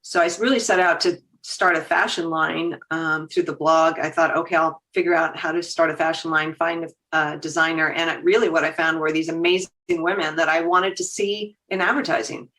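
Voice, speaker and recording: Fifties woman chatting on a podcast, kinda low voice, animated, laughs a lot. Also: normal speed, hardly any pauses. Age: 50s. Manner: animated, laughs easily, quotes her own thoughts. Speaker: guest, agency founder. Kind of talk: casual conversation.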